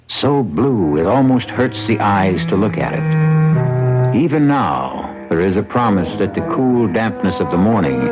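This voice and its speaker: An American man hosting and narrating a scripted mystery radio drama in a deliberate, dramatic storytelling delivery. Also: low-pitched, dull audio. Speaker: host and narrator. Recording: band-limited old radio broadcast, music behind.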